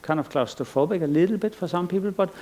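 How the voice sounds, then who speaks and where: A man's voice: high-pitched, quick pace, darkish tone. A middle-aged man from Iceland giving a conference lecture as an artist, thoughtful and rambling, with frequent restarts and fillers. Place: lecture stage.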